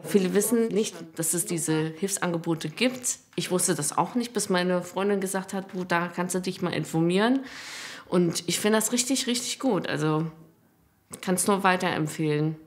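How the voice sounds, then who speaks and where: A woman's voice: fairly low pitch, normal speed, a crowd in the background. Upbeat young mother with people around. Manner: appreciative, upbeat. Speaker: new mother. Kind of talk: interview. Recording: busy surroundings audible.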